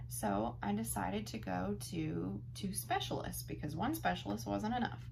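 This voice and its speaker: Woman, 30s, earnest vlogger testimonial, a little gravelly, faint room hiss behind. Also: mid-range voice, moderate pace. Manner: earnest and empathetic, speaks directly to the viewer. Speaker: vlogger sharing her chronic pain story. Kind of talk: heartfelt personal testimonial.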